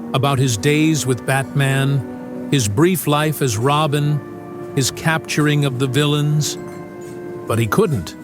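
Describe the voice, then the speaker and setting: A man delivering dramatic scripted narration as the narrator of an audio drama. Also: mid-range voice. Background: music.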